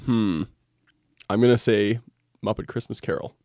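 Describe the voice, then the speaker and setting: A man's voice: lowish voice, moderate pace, mellow, no room sound, clean studio sound. A young man chatting casually as a podcast guest, wry and opinionated. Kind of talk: casual chat.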